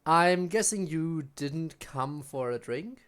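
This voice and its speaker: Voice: mid-range voice, expressive, slow pace, a bit bright, smooth. A streamer chatting casually over gameplay in a wry, informal manner. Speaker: game streamer. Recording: voice over game music.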